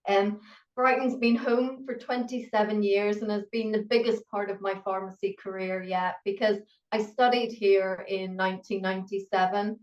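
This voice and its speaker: A woman's voice: medium pitch, steady tone, normal speed. A middle-aged woman with a Northern Irish accent, a guest pharmacist chatting in an interview, self-deprecating and full of fillers. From Northern Ireland. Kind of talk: conversational interview answers. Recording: roomy, distant, dull-sounding remote audio.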